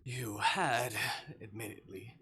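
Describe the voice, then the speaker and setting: A man's voice: mid-range voice, slow, sharp tone. A man voicing a solemn, protective male creature with hooves and long ears, speaking formally and guardedly in a visual novel. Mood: apologetic worry.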